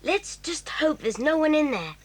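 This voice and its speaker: A woman's voice: high voice, very animated, normal speed. A woman voicing an anxious, eager young schoolgirl who keeps asking questions.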